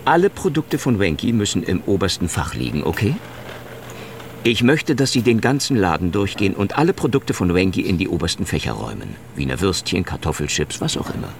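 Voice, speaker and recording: An actor playing an officious, controlling store manager who talks in a clipped, patronizing way in a radio drama. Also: male voice, low voice, very animated, brisk, gravelly, slight background noise, heavily acted. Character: officious male store manager, middle-aged, petty. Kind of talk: German radio drama acting.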